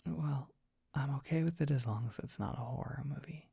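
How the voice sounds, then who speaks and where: A performer playing a dorky, gentle young dragon boy in an ASMR roleplay, speaking in a soft breathy whisper, tender and bashful. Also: mid-range voice, normal speed, dry recording, clean studio sound. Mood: fond, bashful. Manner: tender, bashful, hesitant, softly reassuring. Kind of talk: ASMR roleplay, intimate comforting monologue.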